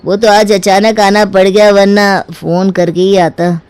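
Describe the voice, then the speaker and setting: A woman's voice: medium pitch, flat delivery, unhurried, darkish tone, clear, resonant tone, no echo, lightly acted. A woman voicing a hesitant, respectful young married man in a story, speaking in a deferential way.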